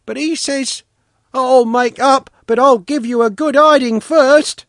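Shrill, wailing small boy. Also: a little slow, fairly bright tone, no room sound, clean studio sound, exaggerated voice. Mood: offended, wailing. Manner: indignant, wailing. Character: pop-eyed small boy, wailing and deeply offended. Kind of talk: storybook character reading.

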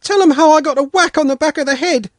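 A man's voice: dry recording, clean studio sound. A man doing a whiny, sulky village boy. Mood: aggrieved whining. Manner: high, aggrieved, drawn-out complaining. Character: sulky whiny peasant boy, easily offended.

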